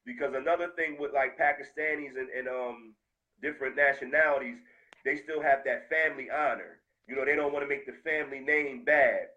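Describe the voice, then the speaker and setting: A man from the United States speaking heartfelt and animated in a casual conversation, full of street slang. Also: medium pitch, a little fast, muffled recording.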